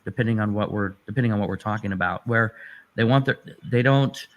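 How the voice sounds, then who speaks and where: A man's voice: on the low side, brisk, dull audio. A man speaking conversationally as a guest in a political discussion.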